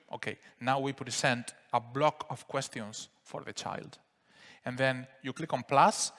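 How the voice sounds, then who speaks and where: A man with a Spanish accent giving a relaxed live software demo at a conference, explaining steps with frequent fillers. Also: medium pitch. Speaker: software presenter. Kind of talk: live product demo talk. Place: conference hall. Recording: reverberant conference hall.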